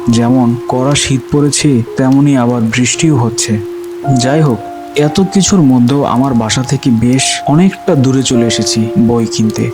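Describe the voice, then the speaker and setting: A man's voice: medium pitch, normal speed, music in the background, lightly acted. A man playing a young university student who tells his ghostly tale in dramatic, suspenseful first-person narration. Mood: scene-setting. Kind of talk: scripted first-person horror narration with acted dialogue.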